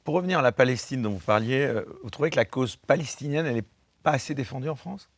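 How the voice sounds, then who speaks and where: A male interviewer poses his questions at a brisk clip in a conversational tone. His voice sits at a middle pitch. The recording is close and dry. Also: no room sound.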